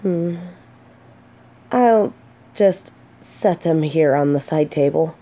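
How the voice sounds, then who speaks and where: Slow-speaking, mellow female knight acted by a woman. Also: sits low. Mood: shy, fond. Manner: soft, hesitant, earnest, dramatic pauses. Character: gentle, earnest female knight consoling a despairing blind companion. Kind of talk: scripted fantasy romance audio roleplay.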